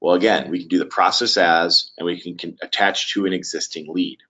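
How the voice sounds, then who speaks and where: A man presenting a software webinar walkthrough in a conversational, halting way with frequent pauses. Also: deep voice, fairly even intonation. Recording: dull, lo-fi webinar audio.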